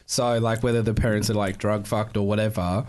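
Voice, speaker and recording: Loud rambling man. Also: fairly low pitch, flat delivery, brisk. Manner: loud, rambling and self-deprecating. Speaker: guest. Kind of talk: casual chat. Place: dark studio room with a party table. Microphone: studio mic on a boom arm.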